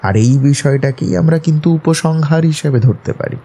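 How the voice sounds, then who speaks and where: A man's voice: a little high, normal speed, gravelly, mellow, breathy edge. A man playing a self-assured, analytical detective in an audio drama, explaining things in an assured, instructive way. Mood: confident, probing. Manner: assured, didactic, explaining deductions step by step. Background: prominent background music.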